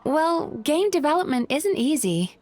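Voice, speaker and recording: Gentle, high-voiced spirit healer. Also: female voice, big pitch swings, a little slow, faint music behind. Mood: friendly. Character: gentle, caring female spirit healer, calm and thoughtful. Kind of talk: video game voice acting.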